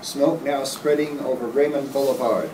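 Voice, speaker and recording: An urgent emergency announcer, played by a man reads terse radio-drama bulletins. He has a mid-pitched voice, heard from a distance in an echoey room with faint noise. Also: fairly even intonation, moderate pace, darkish tone, natural voice. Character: urgent radio announcer giving evacuation warnings. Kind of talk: live radio play reading. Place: hotel meeting room, panel table.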